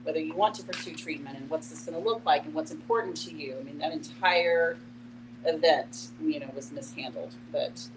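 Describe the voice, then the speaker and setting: A woman explains things informally to a group. Her talk is loose and conversational, dotted with "you know", over a clear hum. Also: sits low, normal speed. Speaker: discussion participant. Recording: noisy hum behind voice.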